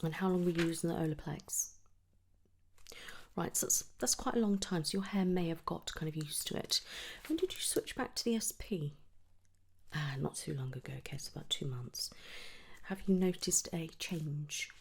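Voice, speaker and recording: A woman softly whispering an ASMR roleplay as a gentle, reassuring hair clinic specialist. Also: low voice, normal speed, breathy edge. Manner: soft, gentle, reassuring clinician speech, often dropping into whisper. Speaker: ASMR performer playing a trichologist at a hair clinic.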